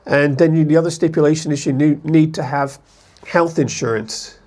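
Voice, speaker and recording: A man's voice: a little high, steady tone, moderate pace, darkish tone. A man from the United States talking casually to camera as an expat vlogger, in a rambling, conversational way that often corrects itself.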